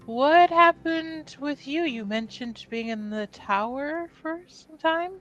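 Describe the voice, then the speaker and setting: A woman speaking slowly and carefully, roleplaying her character at a tabletop game. She hesitates between phrases as she asks her questions. Quiet music sits in the background. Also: high voice, dull audio. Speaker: player.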